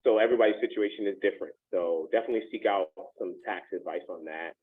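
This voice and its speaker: A male host with a fairly low voice keeps the call moving in a chatty, friendly way. The sound is thin and tinny, like a phone line. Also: quick pace. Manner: chatty, friendly, laughs while telling asides. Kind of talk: hosting a networking call.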